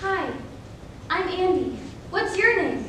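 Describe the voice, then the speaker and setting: A woman playing an excited little child in a stage readers theater piece. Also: very high-pitched, slow pace, full character voice. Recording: roomy echoing hall, distant mic, clear noise.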